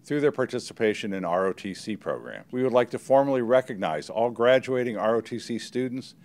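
A man's voice: mid-range voice, lively intonation, fairly quick, a little mellow. A man in his sixties reads a formal scripted ceremonial address as a university provost. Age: 60s. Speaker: university provost presenting honors. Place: indoor stage at a podium.

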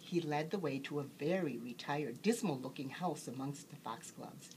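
A woman reading a children's bedtime story aloud as an expressive storyteller. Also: low voice, moderate pace, noisy background.

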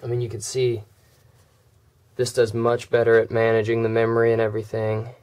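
A man with a cold, his voice going hoarse, casually walking through a phone's software and filling gaps with uhs and ums. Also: mid-range voice, a little fast. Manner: relaxed, many "uh" and "um" fillers. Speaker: tech video creator. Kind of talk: casual screen demo walkthrough.

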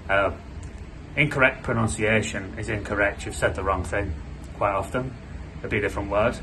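A man in his thirties with a Yorkshire accent, an English teacher explaining to camera in a casual, unscripted way, with many pauses and fillers. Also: on the low side, fairly bright tone, a little hiss or hum, poor audio. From Yorkshire, England. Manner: unscripted, with frequent "uh" pauses and restarts. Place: small indoor room with a plain wall.

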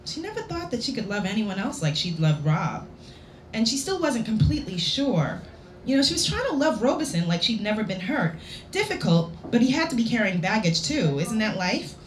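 A woman author reading a story aloud to a live audience, playful and theatrical, with dramatic pauses. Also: medium pitch, sing-song. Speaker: author reading own work. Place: indoor lounge at a public reading event. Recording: roomy live venue recording with background noise.

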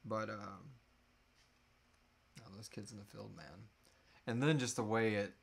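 A man's voice: lowish voice, steady tone, unhurried, vocal fry, husky. A man in his thirties chatting casually and informally as host of a live Q&A, with a joking manner. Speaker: host of a live Q&A, audio drama creator. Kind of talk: casual chat, answering audience questions. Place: small room at home, indoors, seated.

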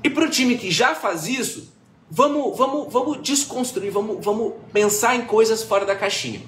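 High-voiced man teaching casually. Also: moderate pace. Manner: casual, animated, frequent fillers and restarts. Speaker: marketing consultant presenting a live class. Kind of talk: informal webinar lecture. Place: small indoor room. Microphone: webcam-framed at desk, video call. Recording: echoey room with faint background noise.

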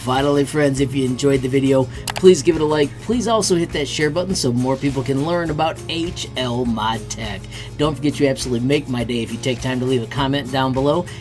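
A man's voice: mid-range voice, brisk, darkish tone, faint music behind. A middle-aged American man narrating an upbeat screen-share tutorial in an enthusiastic, friendly way as an online host. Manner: enthusiastic, friendly sign-posting to viewers.

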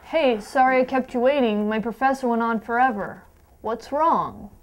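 A woman's voice: a little high, normal speed, lightly acted. A young woman playing an easygoing casting assistant who reads lines in a comedy sketch. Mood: casual. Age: young adult. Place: small office room, indoors.

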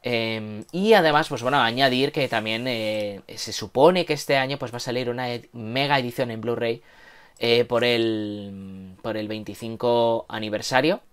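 A man's voice: medium pitch, steady tone, measured pace, clean tone. A man in his thirties with a Castilian accent from Spain, co-hosting a casual news chat in a hesitant, slangy, chatty way. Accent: Castilian Spanish from Spain. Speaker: co-host of a manga and anime news show. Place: home room with bookshelves. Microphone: lapel mic.

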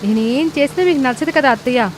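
A woman's voice: high-pitched, quick pace, lightly acted. Woman acting a plaintive young daughter-in-law, faint noise. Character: dutiful, easily hurt young daughter-in-law and mother. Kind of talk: Telugu moral-story cartoon voice acting.

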